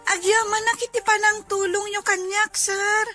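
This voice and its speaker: Woman as a very high, humble young girl. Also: little pitch movement, moderate pace, bright, forceful tone, soft background music. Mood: grateful, sorrowful. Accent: Ilocano. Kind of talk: Ilocano radio drama acting.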